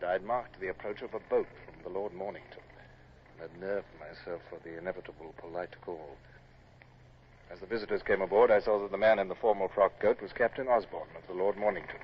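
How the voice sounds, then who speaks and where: An actor playing a stern, commanding British naval captain in a radio drama, formal and crisp in manner. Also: male voice, fairly low pitch, close to an everyday voice. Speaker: lead actor and narrator. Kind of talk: vintage radio drama acting and narration. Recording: dull, faintly noisy old radio recording.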